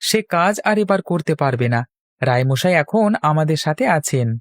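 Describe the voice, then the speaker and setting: A man's voice: a little high, lively intonation, normal speed, no room sound, lightly acted. Guy doing a determined young village schemer, cartoon style, dry close sound. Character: resolute, scheming young village man, loyal friend. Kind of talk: Bengali cartoon voice acting.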